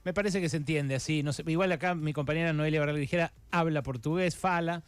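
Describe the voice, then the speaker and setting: A man hosting a radio interview, speaking haltingly with many filler sounds and restarts. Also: fairly high pitch, clean tone, no echo. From Argentina. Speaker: radio host.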